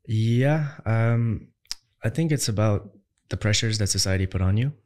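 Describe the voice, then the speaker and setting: A young man from Ireland with a lowish voice talks as an interview guest. He is conversational and emphatic, and he stacks up rhetorical questions with growing force. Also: a little slow, a little mellow. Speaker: entrepreneur guest.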